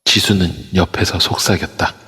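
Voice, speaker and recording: A man narrates a spoken drama in a steady, storytelling way. His voice is very deep and husky. It carries long reverb through a phone voice chat. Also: moderate pace. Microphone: phone in an online voice room.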